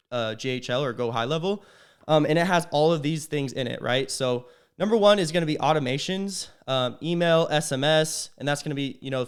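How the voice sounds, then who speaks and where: Casual young man, slightly raspy from a cold. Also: mid-range voice. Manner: loose and conversational, tags sentences with "right?". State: a little sick, slightly raspy. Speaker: agency owner teaching business sales. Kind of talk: casual talking-head business tutorial.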